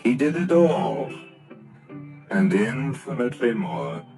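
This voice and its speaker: Man narrating a classic tale slowly. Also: medium pitch, sing-song, mellow, soft background music. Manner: measured storybook reading. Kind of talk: scripted narration.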